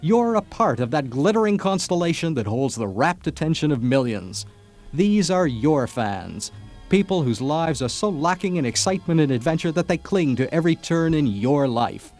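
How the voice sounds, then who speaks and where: A man's voice: on the high side, sing-song, moderate pace, darkish tone. A man playing a grandiose, dramatic contest announcer in a satirical radio ad. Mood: melodramatic. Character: grandiose contest announcer selling a movie star's glamorous, tragic life. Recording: music bed under the voice.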